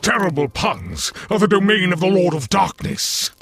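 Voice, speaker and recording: An actor playing a gleeful, campy Satan in a comedic audio drama, theatrical, chatty and mock-courteous. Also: male voice, high-pitched, sing-song, normal speed, gravelly, fairly bright tone, slight background noise, full character voice. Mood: smug, courteous. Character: gleefully campy male Satan, punning corporate devil host.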